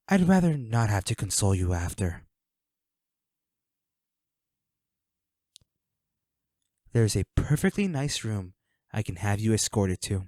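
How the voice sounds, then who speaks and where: A man playing a flirty, menacing villain in an audio roleplay, speaking to the listener with taunting, mock-sympathetic, unhurried delivery. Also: lowish voice, mellow, no echo, clean studio sound, lightly acted. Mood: coaxing menace. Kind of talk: scripted audio roleplay acting addressed to the listener.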